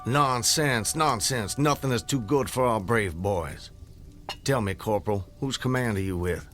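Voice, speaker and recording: An actor playing a proud, fervent planter in a radio drama, formal and grandiloquent. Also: male voice, lowish voice, unhurried, fairly bright tone, faint music behind. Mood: intent. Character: proud, fervent Southern gentleman planter and husband.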